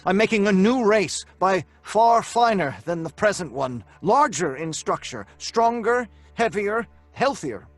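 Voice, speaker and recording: Obsessed young scientist, highish voice, earnest, deliberate, old-time radio horror, music underneath. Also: male voice, animated, dry recording. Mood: fervent.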